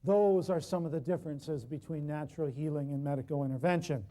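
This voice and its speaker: Loud, emphatic man with a high voice. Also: fairly quick. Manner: loud, emphatic, folksy, repeating lists of words. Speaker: natural healing teacher. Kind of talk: workshop lecture to a live class.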